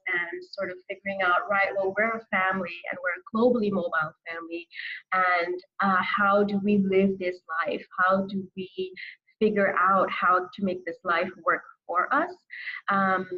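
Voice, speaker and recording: A woman's voice: mid-range voice, dull audio. A woman from Pakistan telling her personal story conversationally as an interview guest. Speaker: guest author.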